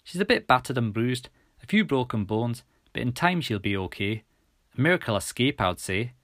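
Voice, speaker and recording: Man acting a Scottish woman paramedic, brisk, reassuring, storybook character, dry sound. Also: mid-range voice, very animated, a bit bright, dry recording.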